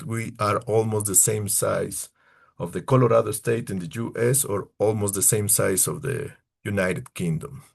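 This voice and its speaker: A man from southern Ecuador presents as a tour leader on a video call. His voice is low with a lot of fry, and he speaks English with an Ecuadorian Spanish accent. The phrasing is informal and somewhat halting, that of a non-native speaker. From far south Ecuador. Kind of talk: informal online slide talk.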